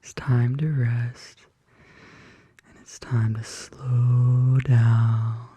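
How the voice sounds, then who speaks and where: A soft-spoken ASMR host murmuring and whispering intimately and slowly in a soothing bedtime-story style, close to the microphone. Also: mid-range voice, little pitch movement, breathy edge, dry recording. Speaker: ASMR video creator and host. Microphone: close studio condenser microphone.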